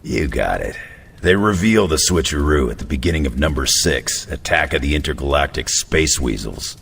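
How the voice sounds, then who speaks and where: A man acting as a gruff, cocky tough hero in a video game cutscene, with a gravelly voice and a terse, smug manner. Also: rumbling low, animated, moderate pace, a bit bright, long reverb tail, slight background noise, exaggerated voice.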